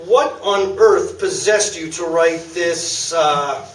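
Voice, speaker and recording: A man playing a dry, needling veteran sports interview host in a comic radio play. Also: on the high side. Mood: sardonic. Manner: dry, sardonic, deliberate. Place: small theatre, live performance. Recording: roomy, distant stage recording with noise.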